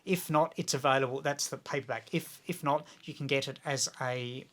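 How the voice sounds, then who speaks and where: A man's voice: mid-range voice, steady tone, moderate pace, a bit bright. A man with an Australian accent explaining practical things in a casual interview, with an enthusiastic, practical manner. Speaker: guest, amateur radio video maker and author.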